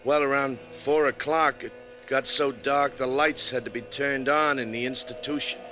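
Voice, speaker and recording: An actor playing a wounded, sardonic American bus driver recounting a grim story in slangy 1940s radio drama style. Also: male voice, mid-range voice, steady tone, normal speed, bright, forceful tone, close to an everyday voice. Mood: grim storytelling. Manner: folksy, hard-boiled, slangy. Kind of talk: 1940s radio drama acting, slangy first-person narration. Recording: dull vintage radio recording, music behind.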